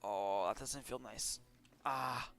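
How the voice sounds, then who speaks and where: A man's voice: medium pitch, slow pace, fairly bright tone, a little hiss or hum. A man giving casual, offhand commentary while playing a video game.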